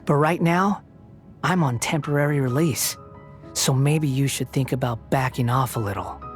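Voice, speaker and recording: An actor voicing a cynical young former villain in a video game, with a smug, dry delivery. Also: male voice, medium pitch, normal speed, faint music behind, lightly acted.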